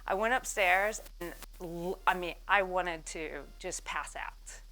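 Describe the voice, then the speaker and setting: A woman's voice: on the low side, slow, sharp tone, poor audio. A woman in her forties giving a business talk on stage, telling her story with self-mocking humor and acting out the people she quotes. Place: event stage before an audience.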